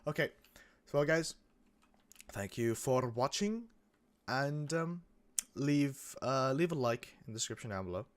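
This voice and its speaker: A young man hosting a gaming channel, chatting casually over gameplay in a rambling way with lots of restarts, his voice a bit croaky from coming down with a cold. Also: mid-range voice, lively intonation, a little slow, pauses often. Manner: rambling, many restarts and fillers. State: getting sick, a bit croaky.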